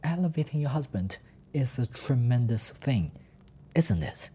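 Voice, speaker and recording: A man's voice: mid-range voice, expressive, a little slow, mellow, husky, voice pushed up. A man voicing a pompous, self-satisfied company boss in a dramatized story. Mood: smug, appealing.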